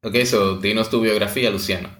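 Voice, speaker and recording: A low-voiced man co-hosts a podcast, his talk loose and full of hesitations and restarts. The sound has noticeable room echo around it. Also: steady tone, fairly bright tone. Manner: halting, many fillers and restarts. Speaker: podcast co-host. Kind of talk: casual podcast chat.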